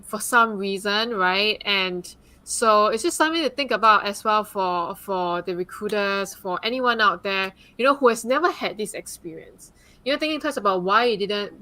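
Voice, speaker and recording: A woman's voice: mid-range voice, pushed and bright, low-quality recording. A woman from Singapore speaking earnestly as a guest on a live panel discussion, with frequent "like" and "um" fillers. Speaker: guest speaker, consultant and advocate.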